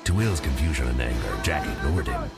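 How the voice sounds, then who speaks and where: Extremely deep, gravelly male narrator. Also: expressive. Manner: measured, dramatic, suspenseful. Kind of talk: dramatic scripted storybook narration. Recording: loud music under the voice.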